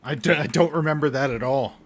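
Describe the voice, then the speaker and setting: A man in casual podcast chat who laughs often and reacts quickly. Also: a little high, heavy background noise.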